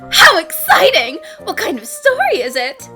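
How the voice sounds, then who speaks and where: A woman voicing a clever, confident young female detective in an animated fan dub, composed and curious. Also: extremely high pitch, moderate pace, music in the background, exaggerated voice. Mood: intrigued.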